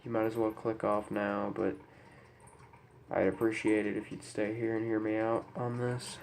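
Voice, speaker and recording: Young male vlogger, congested with a cold. Subdued, flat delivery, halting and serious, light background noise on a camera mic. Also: lowish voice, creaky, rough recording. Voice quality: stuffy, congested. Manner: somber, hesitant, apologetic. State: head cold. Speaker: YouTuber. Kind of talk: unscripted personal talk to camera. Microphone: camera microphone.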